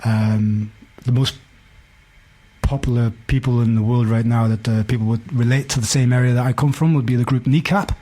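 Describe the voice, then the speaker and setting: A man in his forties with a Belfast accent and a husky voice. He tells stories in a casual, candid way as a podcast guest, peppering his talk with "you know". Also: mid-range voice, steady tone, moderate pace, mellow. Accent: Belfast Northern Irish. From West Belfast, Northern Ireland. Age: 40s. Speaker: podcast guest, hip-hop DJ. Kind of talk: casual conversational storytelling.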